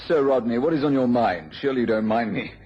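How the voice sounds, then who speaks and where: An actor playing a dissipated, insolent gentleman drinker in a radio drama, nonchalant and mockingly polite. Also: mid-range voice, expressive, fast talker, lightly acted. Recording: dull, noisy old radio recording.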